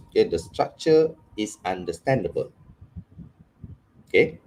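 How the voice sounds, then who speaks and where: Malaysian man teaching a lively English workshop. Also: fairly low pitch, slight background noise, poor audio. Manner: frequent "okay" check-ins, mixes in Malay phrases. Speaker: communication trainer. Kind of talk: workshop lecture.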